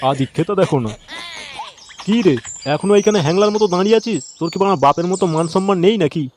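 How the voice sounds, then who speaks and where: Man playing high-pitched, irascible shopkeeper dad. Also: quick pace, a little mellow, natural voice. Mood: scornful. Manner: scolding, coarse, exasperated. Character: irascible, stingy sweet-shop owner and scolding father. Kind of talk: Bengali comedy cartoon voice acting.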